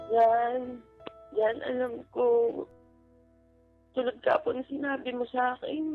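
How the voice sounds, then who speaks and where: A high-pitched, creaky woman caller opens up about personal matters in an earnest, halting way. She pleads gently and sounds emotional, coming through a thin phone line with music playing underneath. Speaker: caller seeking relationship advice. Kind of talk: personal, confessional phone-in talk. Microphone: phone call.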